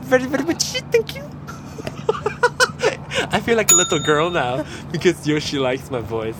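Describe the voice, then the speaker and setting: Male co-host, Malaysian English accent, giggly and self-mocking, very lively pitch, with audible background noise. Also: a little slow. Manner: giggly, self-mocking, loud bursts of laughter. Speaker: podcast co-host. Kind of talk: casual chat.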